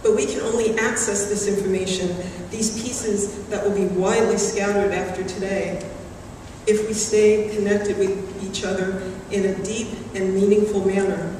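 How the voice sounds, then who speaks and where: A middle-aged woman delivering a prepared graduation speech in a measured, earnest way at a podium microphone in a large hall. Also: mid-range voice, steady tone, warm tone. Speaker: graduating student class speaker. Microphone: podium microphone, recorded from the audience. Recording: very echoey hall, distant, background noise.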